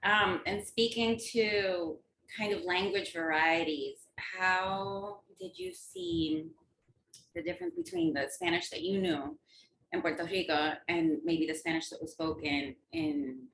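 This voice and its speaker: A woman's voice: mid-range voice, expressive, measured pace, gravelly, a bit bright. A woman hosting an interview, asking friendly, meandering questions with fillers. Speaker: host. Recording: roomy with faint background noise.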